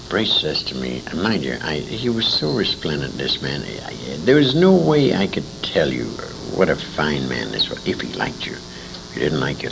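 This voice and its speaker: Older American man, deep gravelly storyteller. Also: background noise. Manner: salty, emphatic, repeats phrases for effect. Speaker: interview guest, old-time radio veteran. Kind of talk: animated anecdotal storytelling.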